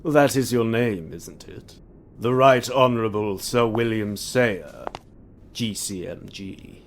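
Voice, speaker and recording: An actor playing a sinister, mocking Victorian gentleman killer, slow and theatrical, with falsely polite menace. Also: on the low side, vocal fry, slight background noise. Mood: mock politeness. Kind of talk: Victorian stage melodrama acting. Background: music.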